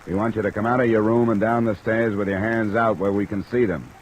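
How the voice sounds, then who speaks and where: A cool American investigator played by a male actor talks in a deep, low register. He is terse and matter-of-fact in vintage radio detective style, under a light wash of rain. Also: steady tone, fast talker, a little hiss or hum, lightly acted, poor audio. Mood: firm, insistent. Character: cool, blunt freelance insurance investigator. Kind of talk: mid-century American radio detective acting. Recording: old radio recording under rain sound.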